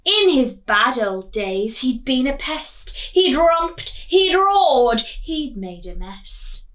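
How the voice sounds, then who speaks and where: A woman reading a picture book to children as a teacher, deliberate and expressive, putting questions to her young listeners. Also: high-pitched.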